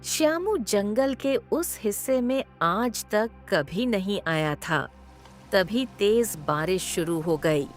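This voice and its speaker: A woman narrating a cartoon story in an expressive, measured storytelling manner. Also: medium pitch, faint music behind.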